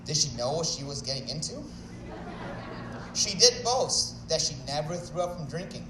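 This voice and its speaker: A man's voice: a little high, lively intonation, moderate pace, recorded from a distance, crowd noise, noisy background. Joking young Chicago man in echoey hall. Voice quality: mumbles a little. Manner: joking, self-deprecating, nervous at first. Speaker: wedding speaker. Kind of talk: wedding toast. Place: wedding reception hall.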